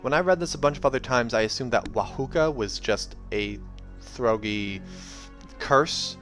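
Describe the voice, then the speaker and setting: A hoarse man reading a novel aloud and chatting casually between passages, with joking asides and many fillers. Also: mid-range voice, moderate pace. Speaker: reader and channel host. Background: soft game music.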